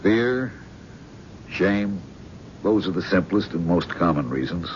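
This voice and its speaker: An actor playing a calm, probing male psychiatrist in a 1970s radio drama. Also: low voice, takes it slowly. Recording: dull old radio broadcast with faint hiss.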